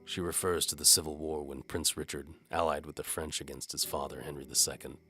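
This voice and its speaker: A man playing a sardonic, world-weary medieval bow for hire, narrating in a wry deadpan as scripted audio drama. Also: extremely low pitch, fairly quick, soft background music, heavily acted. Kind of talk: first-person scripted narration, hard-boiled audio drama.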